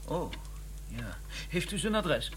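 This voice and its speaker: A middle-aged scholar in a radio play, courteous and formal yet insistent. His pitch moves around in a lively way, over faint background noise. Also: takes it slowly, exaggerated voice. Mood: polite, flustered. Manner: polite, formal, hesitant but insistent. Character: courteous, persistent Cambridge academic, donnish and easily affronted. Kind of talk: radio drama acting with first-person narration.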